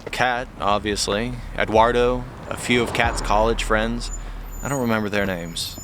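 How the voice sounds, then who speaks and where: An actor playing an awkward, wry young man in an audio drama, hesitant and deadpan in his wording. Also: fairly low pitch, measured pace, noisy background, natural voice. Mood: wry, puzzled.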